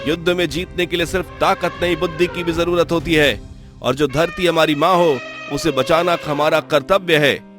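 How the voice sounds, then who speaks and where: A man voices a principled young prince in a cartoon tale. He declaims earnestly and with calm confidence, with soft music underneath. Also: fairly high pitch, moderate pace, lightly acted. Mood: resolute. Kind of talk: Hindi cartoon moral-story voice acting.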